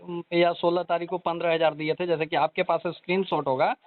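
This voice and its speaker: A man's voice: a little high, flat delivery, brisk. A man runs an informal phone interview. He probes for detail and echoes answers back. The line sounds narrow and dull. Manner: probing, repeats answers back. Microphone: phone call. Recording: thin narrowband phone call recording.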